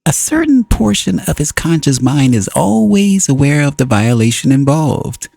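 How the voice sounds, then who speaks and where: A man narrating a book as an audiobook reader, in deliberate, solemn phrases broken by frequent pauses. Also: on the high side, big pitch swings, vocal fry, a little mellow, no echo.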